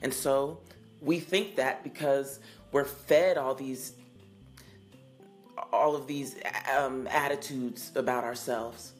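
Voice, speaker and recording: Woman in her 40s, podcast host; husky, sultry morning voice, warm-paced earnest delivery over faint music. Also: very deep voice, steady tone. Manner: earnest, unhurried and affirming. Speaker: singer-songwriter hosting a call-in podcast. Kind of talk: reflective podcast talk answering listener messages.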